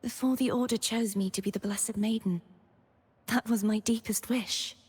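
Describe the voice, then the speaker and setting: A woman playing a gentle, earnest, solemn young knightly maiden in a video game, speaking softly in a scripted monologue. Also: a little high, steady tone, moderate pace, husky. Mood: earnest, hopeful. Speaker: game character voice actor. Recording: long reverb on the voice.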